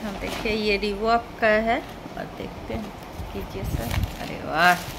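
A middle-aged woman speaks at an average pitch, chatting casually to her viewers. She narrates like a home vlogger. Clear background noise runs through the phone recording made indoors. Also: slow.

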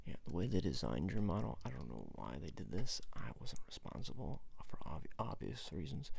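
A man in his twenties doing a soft-spoken ASMR roleplay as a repair technician, murmuring haltingly to the listener up close. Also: sits low, sing-song, vocal fry, slightly rough. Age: 20s. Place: small room at home.

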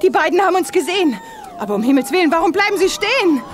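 High-voiced, anxious young space heroine. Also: female voice, animated, fast, background noise. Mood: alarmed. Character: anxious, spirited young female space adventurer. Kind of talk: German 1980s sci-fi radio play acting.

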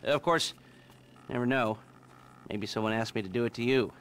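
An actor playing a cynical, self-loathing male hacker in a video game, halting and sardonic. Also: medium pitch, fairly bright tone, a little hiss or hum, lightly acted. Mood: sardonic, awkward. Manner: halting, sardonic, nervous chuckling. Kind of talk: video game cutscene acting.

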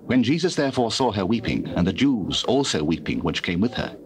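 A man with a British accent reading dramatized scripture as narrator, measured and solemn. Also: on the low side, sing-song. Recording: hissy old cassette recording.

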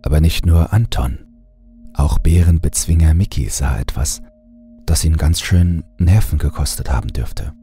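Very deep, dark-voiced male narrator. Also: moderate pace, soft background music. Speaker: horror story narrator. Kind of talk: scripted creepypasta narration.

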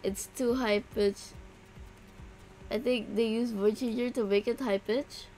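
A woman's voice: a little high, fairly even intonation, measured pace, a little mellow, faint music behind, low-quality recording. A woman chatting casually on a livestream, reacting aloud with exclamations and swearing. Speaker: VTuber livestreamer who sings. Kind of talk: casual livestream chat with singing.

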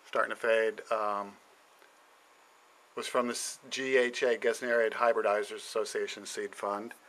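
Bright-voiced man touring his plant shelves. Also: fairly low pitch, rough recording. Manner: halting, many ums and uhs, frequent throat clearing. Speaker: home plant grower. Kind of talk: casual narrated plant tour. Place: indoor basement grow shelves.

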